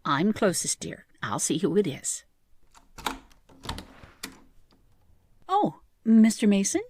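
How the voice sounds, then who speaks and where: A woman's voice: medium pitch, huge pitch swings, moderate pace, background noise. Woman playing a genteel, lilting, kindly grandmother. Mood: puzzled. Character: kindly, polite grandmother, doting and curious. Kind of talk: old-time radio drama acting.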